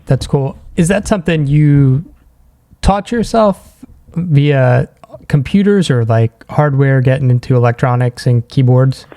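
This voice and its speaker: A man's voice: mid-range voice, expressive, measured pace, mellow. A man hosting a podcast, asking questions in a casual conversational interview style.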